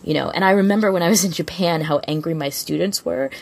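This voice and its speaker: A woman's voice: fairly low pitch, fast, creaky. A woman co-hosting a casual fan podcast, talking in an effusive, rambling way. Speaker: podcast co-host.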